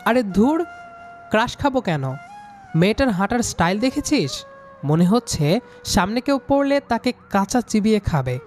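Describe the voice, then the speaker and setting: A man's voice: sits high, sing-song, moderate pace, pauses often. A young man reading a scripted first-person story, acting out his own lines of dialogue. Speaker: story narrator playing the student hero. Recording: music under the voice. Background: background music.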